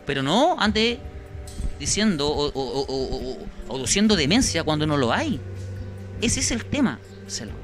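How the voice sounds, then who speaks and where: A Chilean man with a slightly raised pitch speaks insistently into a handheld mic in a small studio. He stacks questions and repeats himself as he hunts for words. Faint music sits under the talk. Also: big pitch swings, normal speed. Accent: Chilean Spanish. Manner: insistent, indignant, repeats words while searching. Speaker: guest, victim's brother. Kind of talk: studio interview.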